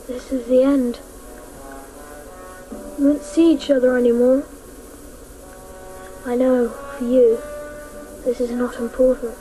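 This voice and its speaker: A woman playing an earnest, stubborn young boy in despair, acting slowly and plaintively in a radio play. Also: sits high, mellow, poor audio. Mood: solemn farewell. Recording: faint hiss, old radio play.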